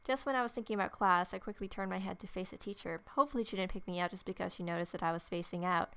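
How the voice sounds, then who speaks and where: Wry woman narrating a game, creaky voice. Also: fairly low pitch, very fast. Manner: wry, sardonic asides. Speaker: gaming video host. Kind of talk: casual let's-play, reading game text aloud with commentary. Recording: faint game music behind. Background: soft game music.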